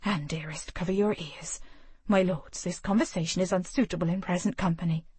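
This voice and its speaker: A fussy, fluttery older lady chaperone, as a woman acts her out, hushed and quick. The recording is close and dry. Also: lowish voice, fairly even intonation, husky, dry recording. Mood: scandalized.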